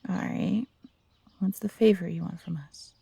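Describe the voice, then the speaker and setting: A woman's voice: fairly low pitch, steady tone, unhurried, gravelly, mellow, breathy edge, dry recording, heavily acted. A woman playing a loyal, feisty young fox hybrid, earnest and protective, in a fantasy audio roleplay. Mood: wary. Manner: earnest, defiant, pleading.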